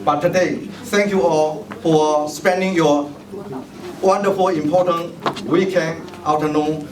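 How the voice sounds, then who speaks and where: Middle-aged Chinese-native man, formal ceremonial speech, slightly high voice, distant mic in a roomy hall with noise. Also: steady tone, unhurried. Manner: formal, ceremonial, thanks many guests by name. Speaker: mayoral candidate giving a speech. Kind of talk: bilingual public speech, switching English and Chinese. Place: large hall at a tea party event. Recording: echoey hall, distant mic, noisy ambience.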